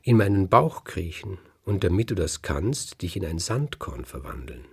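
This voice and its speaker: Man telling it as a cunning, gravelly rooster. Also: low voice, very animated, moderate pace, full character voice. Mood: coaxing. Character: cunning, demanding talking rooster in a folk tale.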